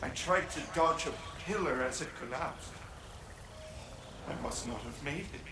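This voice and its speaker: Dazed earnest ghost, mournful, lingering slow phrases, echoey game scene with noise. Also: male voice, fairly high pitch, lively intonation. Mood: dazed, wistful. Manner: halting, earnest. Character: mournful lovelorn male ghost, dazed and pleading. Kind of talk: video game voice acting.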